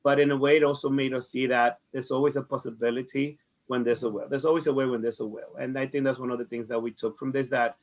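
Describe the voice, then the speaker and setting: Male market manager, Dominican accent, earnest interviewee, mid-pitched voice, conversational delivery. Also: poor audio. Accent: Dominican Spanish. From Dominican Republic. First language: Spanish. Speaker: farmers market director being interviewed.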